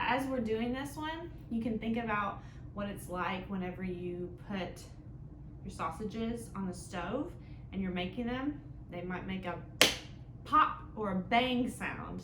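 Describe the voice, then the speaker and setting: A woman's voice: medium pitch, a little slow. A woman hosting an interactive storytime for young children, explaining things in simple phrases and often checking in with questions. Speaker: children's library storytime host. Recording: echoey room with clear background noise.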